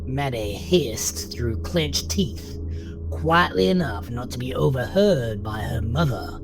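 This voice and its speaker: Male narrator for horror audio drama, unhurried, animated pitch, dramatic storytelling over music. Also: low-quality recording.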